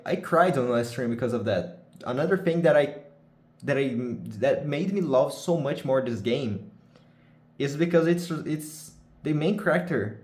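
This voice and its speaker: Halting Brazilian man in a roomy room. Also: on the high side. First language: Portuguese. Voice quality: halting, many restarts and searching for words. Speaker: vlogger. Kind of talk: casual unscripted chat.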